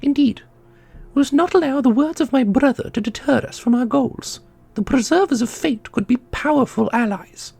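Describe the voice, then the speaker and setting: A woman playing an ancient female custodian in a fantasy audio drama, dignified and sorrowful, speaking in a formal, measured, gentle way. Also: high voice, gravelly, husky, faint music behind, full character voice. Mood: composed, firm.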